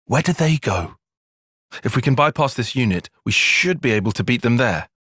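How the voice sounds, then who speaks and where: Game cutscene role: earnest young male tactician, bookish and analytical, animated intonation, slightly husky, dry close sound. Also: medium pitch, dry recording. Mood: calm, thoughtful. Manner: measured, formal, analytical phrasing. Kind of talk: video game cutscene voice acting.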